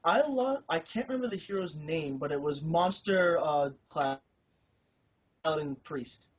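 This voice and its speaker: A man's voice: on the high side, expressive, measured pace, breathy edge. Hesitant young-sounding man, lo-fi. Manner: modest and hesitant, with frequent fillers and laughter. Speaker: tournament winner being interviewed. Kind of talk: casual interview. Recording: lo-fi recording.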